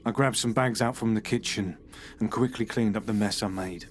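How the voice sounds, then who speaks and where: An actor playing a troubled, unwell man who confesses to the police in a halting, hushed voice with nervous laughs. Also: fairly low pitch, slight background noise, lightly acted. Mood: haunted. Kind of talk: audio horror drama.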